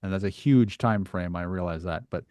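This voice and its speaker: A man with a lowish voice hosts a conversational interview. He phrases things tentatively and thoughtfully, feeling his way through each point. The sound is dry, with very little room to it. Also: normal speed, a little mellow, no room sound. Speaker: podcast host.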